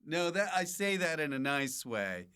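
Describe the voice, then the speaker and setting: A male podcast host in casual comic conversation. His pitch swings around freely while his pace stays fairly unhurried, and he talks in joking, teasing banter. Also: big pitch swings, noisy background. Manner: joking, mock-abusive banter. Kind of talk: casual comedic interview chat.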